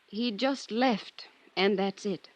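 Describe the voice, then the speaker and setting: An actress playing a guarded, polite but evasive office secretary in a 1950s American radio drama. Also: female voice, mid-range voice, takes it slowly. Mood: curt, evasive. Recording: dull, noisy 1950s radio recording with clicks.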